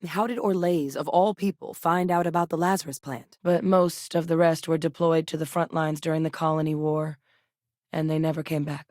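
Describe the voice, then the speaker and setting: A woman voice actress playing a determined, principled soldier-scientist in a space adventure video game, speaking earnestly and conversationally with military briskness. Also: deep voice, steady tone, a little mellow, no echo, clean studio sound, natural voice. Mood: focused, measured. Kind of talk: acted video game dialogue.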